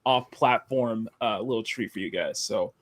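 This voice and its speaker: A deep-voiced man with a Southern accent chats casually as a live-show host. He rambles, restarts his sentences and laughs often, in a dry, close recording. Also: moderate pace, dry recording. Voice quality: deep, radio-style tone. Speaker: livestream host.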